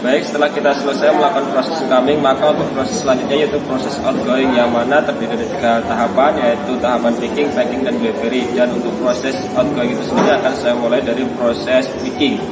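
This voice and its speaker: A man's voice: mid-range voice, flat delivery, fast, few pauses, roomy sound, very noisy. A man methodically walking through warehouse procedures step by step, with frequent hesitations. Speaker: warehouse staff demonstrating procedures. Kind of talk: instructional workplace walkthrough.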